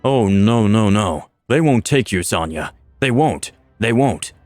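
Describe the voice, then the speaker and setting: A man's voice: fairly low pitch, animated, unhurried, a little mellow, dry recording, faint music behind. A man voicing an unhinged, gleeful necromancer master in a narrated fantasy story, flippant and menacing, with a theatrical, toying delivery. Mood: protective cooing.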